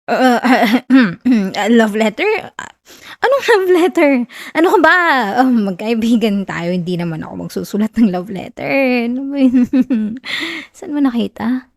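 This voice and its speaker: Woman acting a whiny, flustered young Filipino tsundere, high-voiced. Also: no room sound, clean studio sound, full character voice. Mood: flustered, awkward, then panicked, whiny denial. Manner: whiny, stammering, halting with nervous giggles. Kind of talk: Tagalog ASMR romance roleplay.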